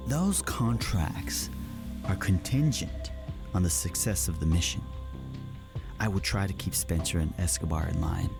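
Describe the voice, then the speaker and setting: A man acting in an audio drama as a smug, threatening military official, measured and condescending. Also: low-pitched, expressive, vocal fry, heavily acted. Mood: smug warning. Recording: music under the voice.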